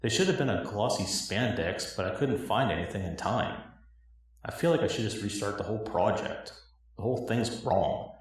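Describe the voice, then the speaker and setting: Low-voiced man in an echoing space. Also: lively intonation, moderate pace, distant mic. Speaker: cosplay builder acting in own parody cutscenes. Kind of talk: dramatic scripted monologue in a game parody. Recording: echoey, roomy recording.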